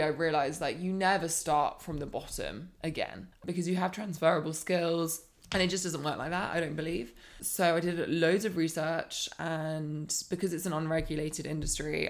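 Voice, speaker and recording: A woman in her thirties, a life coach telling her own story as a podcast guest, earnest and reflective, animated when she builds a point. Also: low voice. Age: 30s. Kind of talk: candid personal storytelling.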